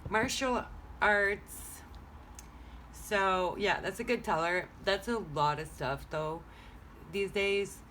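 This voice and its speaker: A woman's voice: medium pitch, slow, fairly bright tone, a little hiss or hum. A woman whose first language is French, chatting casually as she reads tarot cards at home, rambling and thinking aloud. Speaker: tarot reader. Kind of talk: casual card-reading vlog. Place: home room.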